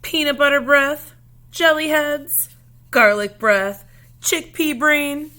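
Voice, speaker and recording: A woman's voice: sits high, slow pace, sharp tone, pitched above the performer's own voice, exaggerated voice. A woman voicing an indignant young schoolgirl in a storybook, blunt and emphatic as she squabbles. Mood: taunting. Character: indignant young schoolgirl quarrelling with her best friend.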